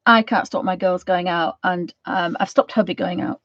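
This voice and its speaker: A woman with a croaky voice from a cold, chatting casually as she gives a painting tutorial and rambling with many ums. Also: lowish voice, steady tone, moderate pace, fairly bright tone, no room sound. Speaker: art channel host. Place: home studio. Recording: dry, slightly dull sound.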